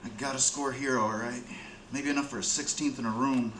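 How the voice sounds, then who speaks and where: An actor playing a jittery, down-and-out addict and petty thief who grumbles and mutters to himself in street slang, in a radio drama. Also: male voice, mid-range voice, steady tone, brisk, a bit bright, close to an everyday voice. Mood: furtive, hopeful. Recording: roomy, with faint background noise.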